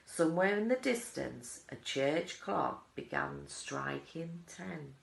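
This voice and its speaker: A woman's voice: low-pitched, lively intonation, takes it slowly, gravelly. A woman narrating a children's story aloud. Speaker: audiobook reader, teaching assistant. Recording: roomy echo.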